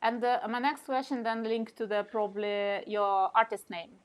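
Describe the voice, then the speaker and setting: A woman's voice: on the high side, fairly even intonation, moderate pace, smooth. Hesitant woman interviewer. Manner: friendly, hesitant with fillers. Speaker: interviewer host. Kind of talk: casual interview.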